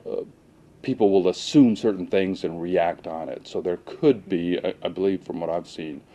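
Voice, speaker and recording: Male police lieutenant as interview guest: very low, soft-edged mellow voice, cautious delivery, faint noise behind. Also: moderate pace. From Pakistan, moved to the US at age seven. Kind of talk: unscripted interview answers.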